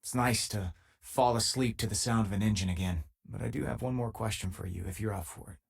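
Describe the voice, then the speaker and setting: An actor playing an easygoing, wisecracking spacefaring companion in a video game, talking in a casual, wry, conversational way. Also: male voice, lowish voice, a little fast, vocal fry, breathy edge. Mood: easygoing, friendly. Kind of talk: video game voice acting, conversational companion lines and combat callouts.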